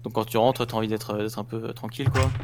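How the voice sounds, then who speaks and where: A man's voice: on the low side, little pitch movement, fast, a little hiss or hum. A man talking casually on a podcast, laying out his view with stumbling repetitions. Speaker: podcast co-host. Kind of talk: casual roundtable chat.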